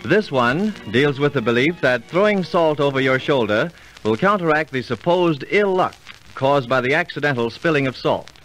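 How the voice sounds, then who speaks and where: An actor playing a knowing storyteller who explains things formally in 1930s radio style. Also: male voice, on the high side, expressive, moderate pace, a bit bright, faint music behind. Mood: formal, instructive. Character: knowing, instructive male storyteller and dining companion. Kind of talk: 1930s radio narration and acting. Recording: slightly noisy 1930s radio recording.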